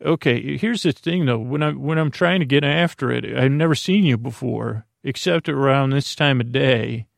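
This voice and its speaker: A man hosting a sleep podcast in a meandering, hesitant monologue that keeps trailing off into tangents, with a creaky voice. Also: medium pitch, normal speed, hardly any pauses, no echo, clean studio sound. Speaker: podcast host. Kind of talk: rambling bedtime monologue to help listeners sleep.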